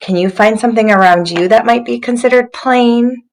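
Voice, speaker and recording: A woman's voice: a little high, normal speed, creaky. A woman literacy coach leading an interactive read-aloud for young children, instructive, prompting listeners with questions.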